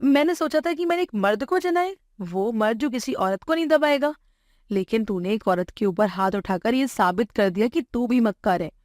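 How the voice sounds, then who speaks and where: A woman's voice: on the high side, animated, moderate pace, a little mellow, dry recording, studio quality, lightly acted. Woman playing a firm, outspoken middle-aged mother. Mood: stern scolding.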